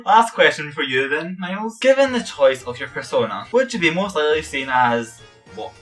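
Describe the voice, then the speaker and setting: Man playing a bright-voiced, animated royal butler. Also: on the high side, moderate pace. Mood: exasperated. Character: uptight but fun royal butler with veiled menace. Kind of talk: improvised in-character Q and A skit. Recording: distant mic with music behind.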